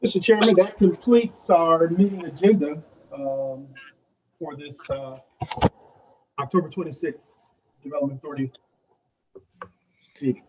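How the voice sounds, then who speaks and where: A man's voice: on the high side, steady tone, a little slow, long pauses. A man acting as clerk in a formal online meeting, giving roll call and announcements. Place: video conference call. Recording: clearly noisy call audio with clicks.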